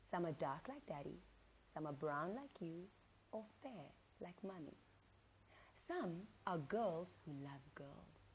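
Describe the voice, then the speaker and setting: Woman playing a firm, expressive mother. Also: on the low side, slow pace, a little hiss or hum, voice pushed down. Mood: gentle, firm. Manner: firm, emphatic, patient with child. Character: outspoken mother, firm, fiery yet tender.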